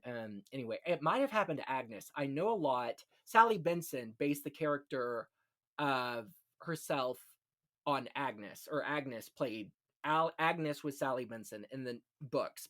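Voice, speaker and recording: A man hosts a casual podcast about classic film. His voice sits at a middle pitch, and he talks in a chatty, conversational way, dropping in small asides as he goes. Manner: chatty, punctuates talk with "you know" asides. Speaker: podcast host.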